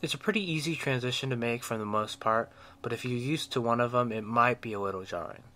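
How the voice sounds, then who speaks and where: A man's voice: mid-range voice, fast talker, bright, forceful tone. A man reading a scripted video game review as an enthusiastic, opinionated fan.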